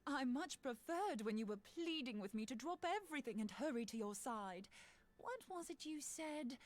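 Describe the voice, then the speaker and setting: A woman's voice: sits high, expressive, normal speed. Bold, teasing woman with swooping intonation. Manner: blunt, playful, informal. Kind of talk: fantasy video game acting.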